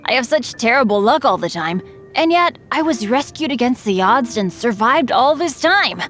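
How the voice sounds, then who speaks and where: A woman's voice: on the high side, sing-song, moderate pace, a bit bright. A woman voicing an upbeat, earnest, unlucky teenage boy adventurer for a video game, eager, chatty and self-deprecating. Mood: sheepish, self-deprecating. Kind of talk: video game character voice lines.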